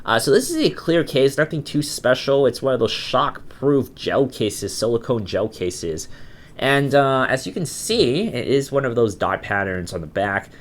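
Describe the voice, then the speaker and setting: Man with a cold, reviewing gadgets. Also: fairly low pitch. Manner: chatty, loose, many fillers and restarts. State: has a cold, voice sounds a bit off. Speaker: tech reviewer, channel host. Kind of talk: casual product review vlog.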